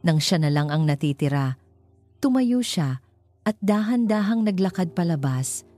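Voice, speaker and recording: A woman's voice: on the low side, lively intonation, unhurried, dark tone. A woman with a Filipino accent reading a scripted dramatic story as narrator. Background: background music.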